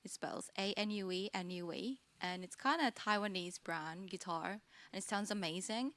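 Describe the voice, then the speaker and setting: Halting, giggly woman guest musician. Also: mid-range voice, a bit bright. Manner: halting, searching for words, giggly. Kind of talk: casual interview chat.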